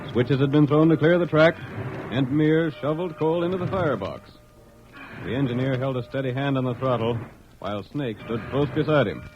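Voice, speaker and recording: A man's voice: mid-range voice, fairly quick, close to an everyday voice. A man narrating a western serial as a dramatic old-time radio announcer. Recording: muffled old radio broadcast, music behind.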